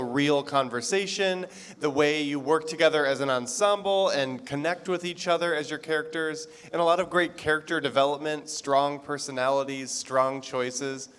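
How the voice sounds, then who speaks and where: A man's voice: a little high, fairly bright tone, clear, resonant tone. A man giving casual feedback to performers as an adjudicator in a reverberant hall. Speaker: festival adjudicator.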